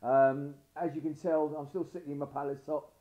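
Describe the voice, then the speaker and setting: Croaky, higher-voiced man hosting. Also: fairly even intonation, a little fast, muffled recording. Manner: chatty, rambling with restarts. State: hoarse. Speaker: podcast host. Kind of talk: casual live stream chat.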